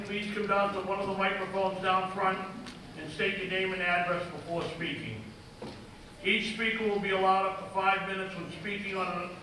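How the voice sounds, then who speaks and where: A man moderating a town meeting, reading procedures in a measured, formal way in a large auditorium. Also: high-pitched, pushed and bright. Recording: echoey hall, distant mic, background noise.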